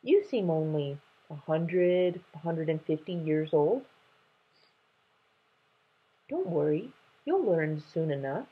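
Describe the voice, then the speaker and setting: A woman voicing a kindly, motherly lion who explains and gently scolds, in a children's storybook read-aloud. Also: low voice, expressive, takes it slowly, long pauses, slightly rough, phone-quality sound, full character voice.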